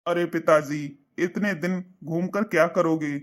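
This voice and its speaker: A man's voice: a little high, steady tone, measured pace, lightly acted. A man doing an eager devoted son. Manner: eager, reassuring. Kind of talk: cartoon voice acting.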